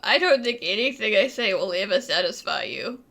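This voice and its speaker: A woman's voice: mid-range voice, animated, normal speed, pushed and bright. A woman giving casual, reactive commentary while playing a video game. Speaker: gamer commenting on gameplay. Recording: faint game music behind. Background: video game music.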